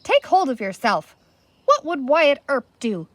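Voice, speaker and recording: A woman's voice: high voice, wildly swooping pitch, unhurried, fairly bright tone, voice pushed up, exaggerated voice. A woman reading a children's storybook as a sensible, earnest, wide-eyed young girl. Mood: firm.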